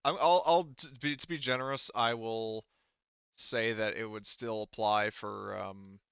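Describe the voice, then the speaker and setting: A man's voice: fairly low pitch, steady tone, unhurried, creaky, fairly bright tone, clear, resonant tone, no room sound. A man acting as game master, casually refereeing a tabletop game and thinking aloud in a hesitant way. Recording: band-limited, dull dry audio.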